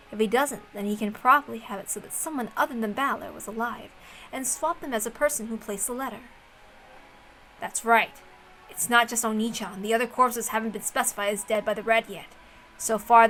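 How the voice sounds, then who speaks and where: A woman doing an expressive amateur read-aloud of a visual novel in a casual, homemade way. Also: on the high side, a little hiss or hum, rough recording.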